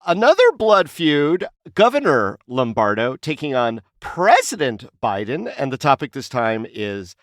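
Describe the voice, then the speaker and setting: A man's voice: mid-range voice, wildly swooping pitch, a little slow, few pauses, no echo, studio quality. An American man hosting a podcast, leading a casual discussion of the news. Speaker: podcast host.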